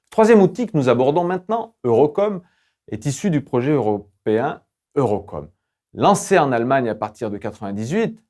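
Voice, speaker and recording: A man's voice: medium pitch, warm tone. Man narrating a formal, scripted lesson. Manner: formal, didactic, measured academic delivery. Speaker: lecturer presenting language-learning tools.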